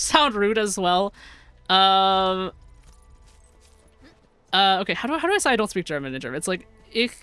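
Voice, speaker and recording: A woman's voice: medium pitch, fairly bright tone, clean tone. A young American woman chatting casually while streaming a game, reading chat aloud, giggling often and rambling through her sentences. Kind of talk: casual gameplay livestream chat.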